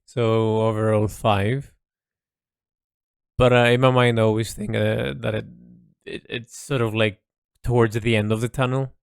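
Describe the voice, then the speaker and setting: A man's voice: fairly low pitch, little pitch movement, unhurried, gravelly, smooth, dry recording, clean studio sound. A man keeping a reflective, unscripted audio log as an artist and writer, speaking hesitantly and often searching for the right word. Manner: hesitant, searching for words, trailing into "sort of". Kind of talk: reflective solo monologue, casual and unscripted.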